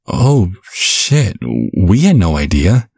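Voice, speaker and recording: Man as a deep-voiced, gentle vampire dad. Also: huge pitch swings, slow pace, creaky, breathy edge, no room sound, clean studio sound, full character voice. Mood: flustered. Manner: gentle, stammering, chuckling reassurance. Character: caring, bumbling vampire father, looks twenty-five, over a century old. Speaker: ASMR roleplay performer. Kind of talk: ASMR audio roleplay.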